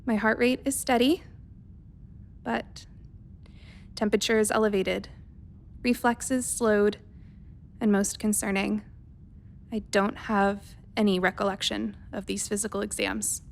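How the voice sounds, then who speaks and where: A woman's voice: medium pitch, little pitch movement, measured pace, vocal fry, long pauses. A woman playing a methodical, worried spaceship doctor dictating a research log in a radio play, clinical and precise but hesitant. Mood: worried, faltering.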